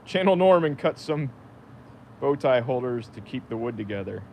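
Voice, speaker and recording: A man's voice: expressive, moderate pace, vocal fry, warm tone. An American man talking casually and unscripted as a livestream host, rambling half to himself while he works. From United States. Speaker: livestream host, DIY woodworker. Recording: noisy workshop, machinery rumble.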